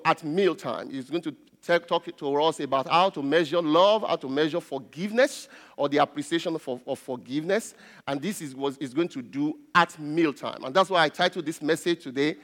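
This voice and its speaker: Nigerian guy preaching, yoruba native, slightly high voice, deliberate, repeats key phrases, big echoey church hall. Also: a bit bright. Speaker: pastor. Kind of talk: sermon preaching. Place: large reverberant indoor church space. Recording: reverberant hall.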